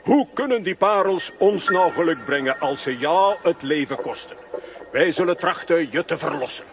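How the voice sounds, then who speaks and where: An actor playing a bold, boastful but loyal adventurer with a pompous manner in a children's radio play. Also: male voice, fairly high pitch, lively intonation, moderate pace. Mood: determined.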